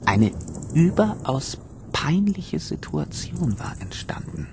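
An actor in a radio drama playing a timid, earnest young English gentleman traveller. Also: on the high side, sing-song, slow pace, noisy background, heavily acted. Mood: uneasy. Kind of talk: radio drama acting, reading letters and journal.

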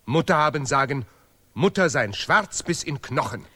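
Sharp, forceful, self-important pious zealot. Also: male voice, fairly high pitch, lively intonation, breathy edge, exaggerated voice. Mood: pious, zealous. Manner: pompous, emphatic, deliberately broken grammar. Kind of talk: stylised absurdist radio play declamation.

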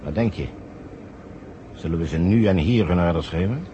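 An actor playing a sly, smug conspirator who calmly gives orders in a vintage radio play. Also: male voice, sits low, normal speed, dull audio, slight background noise, natural voice. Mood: calm, calculating. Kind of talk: 1970 radio play acting.

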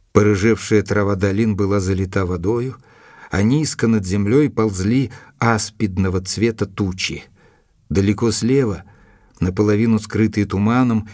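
Low-voiced gentleman narrator, music behind. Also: dry recording, studio quality. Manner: measured, literary, reflective. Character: thoughtful gentleman doctor narrating his own account. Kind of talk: dramatized scripted narration.

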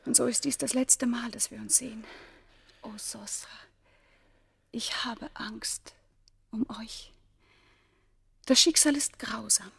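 A woman acting a governor's noble daughter of ancient Egypt, passionately in love, with formal, breathy, poetic delivery in a German radio play. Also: a little high, steady tone, slow, pauses often, fairly bright tone, exaggerated voice. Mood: anxious, sorrowful.

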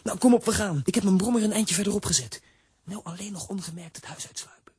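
A woman's voice: medium pitch, quick pace, darkish tone, breathy edge, no echo, clean studio sound. An actress playing an eager, adventurous young boy in a children's audio drama.

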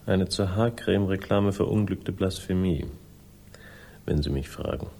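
An actor playing a world-weary male sleuth in a German radio crime drama, speaking with dry, laconic irony and a gravelly voice. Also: low voice, a little slow, a little mellow, a little hiss or hum. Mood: needling, skeptical. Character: sardonic, world-weary male crime sleuth telling his own story. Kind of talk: 1960s German radio crime drama, first-person narration and dialogue.

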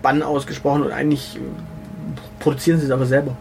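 A man co-hosting a casual comedy podcast, rambling through deadpan jokes with many fillers and restarts. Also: medium pitch, fast talker, gravelly, faint music behind. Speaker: podcast co-host.

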